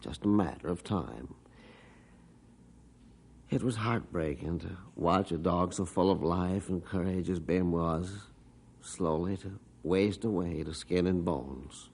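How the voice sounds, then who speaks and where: Grieving father, radio drama, deep low voice, earnest, heartfelt. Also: slow pace, creaky, darkish tone, breathy edge, full character voice. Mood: somber. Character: grieving middle-aged father, dog owner. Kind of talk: 1950s radio drama acting.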